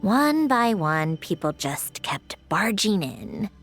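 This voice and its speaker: An actress playing a weary, sardonic female inventor in a video game, speaking slowly with a dry, sighing delivery. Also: medium pitch, big pitch swings. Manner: dry, sighing, slow and reflective. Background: soft game music.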